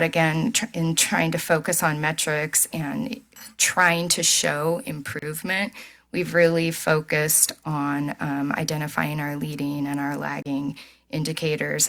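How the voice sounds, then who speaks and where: A woman presenting a staff report at a board meeting in a steady, businesslike way. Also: deep voice, vocal fry, low-quality recording.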